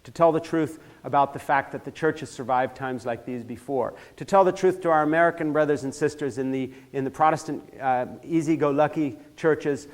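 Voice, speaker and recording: An older man from Switzerland lectures in a church with a fairly high voice. He builds from measured remarks to heated, forceful emphasis and often trips over and restarts his phrases. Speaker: speaker giving a church talk. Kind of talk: impassioned lecture.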